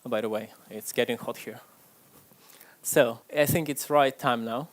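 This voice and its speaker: A man's voice: medium pitch, normal speed, slight background noise. A man from Ukraine, giving a chatty, self-deprecating tech conference talk on stage to a live audience. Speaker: conference speaker.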